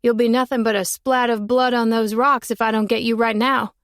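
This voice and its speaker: A woman's voice: a little high, fairly even intonation, a little fast, no room sound, studio quality, lightly acted. A woman playing a cocky young boy. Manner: boastful, teasing. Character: cocky, brave young boy who calls himself a dragon.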